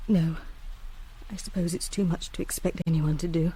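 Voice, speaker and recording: Young student in a radio play, soft mellow voice, earnest n guilty, halting, well spoken. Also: female voice, lowish voice, steady tone, slightly rough, poor audio. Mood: earnest pleading. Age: young adult. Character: earnest, guilt-ridden young woman student pleading for reprieve. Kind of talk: radio drama acting.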